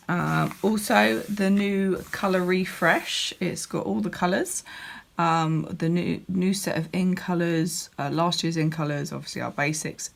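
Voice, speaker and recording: A woman with a croaky voice, chatting casually as she walks through a crafting catalogue, with lots of ums and restarts. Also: lowish voice. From United Kingdom. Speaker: crafting demonstrator. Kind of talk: casual product walkthrough.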